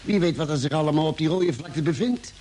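An actor playing a cautious, sceptical doctor on a space expedition, reasoning and worried, in a vintage radio drama. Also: male voice, fairly high pitch, a little fast, darkish tone, lightly acted. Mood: worried, cautioning. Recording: faintly noisy vintage radio recording.

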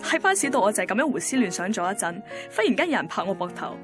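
A woman's voice: medium pitch, very animated, very fast, natural voice. A woman playing a wistful, introspective young working woman in a radio drama, with a Hong Kong Cantonese accent. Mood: wistful, musing. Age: young adult. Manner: introspective, musing. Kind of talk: radio drama first-person monologue. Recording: clear music bed behind voice.

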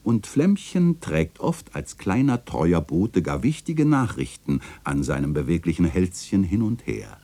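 An actor playing an ageing, fond, bookish chronicler, narrating a literary radio play in a reflective, lyrical, tender way. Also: male voice, low-pitched, very animated, unhurried, hardly any pauses, dark tone, heavily acted. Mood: fond, lively.

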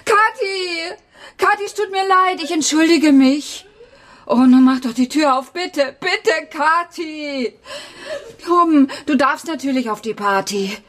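An actress in her forties playing an anxious teacher and mother in a naturalistic radio crime drama, with a hesitant, emotional delivery and a slightly husky voice. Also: sits high, slow, fairly bright tone. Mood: distraught, pleading. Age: 40s. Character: anxious forty-year-old female teacher and single mother.

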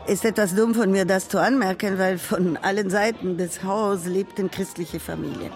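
An elderly grandmother in a radio play recounts her childhood to a grandchild, voiced by an actress. She speaks very slowly and haltingly, with broken grammar and a mid-range voice. Faint music plays underneath. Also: mid-range voice, steady tone, darkish tone, lightly acted. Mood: reflective. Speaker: narrator figure telling a childhood memory. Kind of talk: radio drama monologue.

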